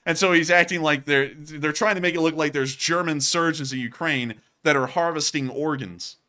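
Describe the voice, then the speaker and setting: A man's voice: on the high side, moderate pace. A man hosting a war news show, talking casually over video clips, speaking straight to his viewers and often sounding incredulous. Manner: animated, talks straight to viewers, incredulous asides.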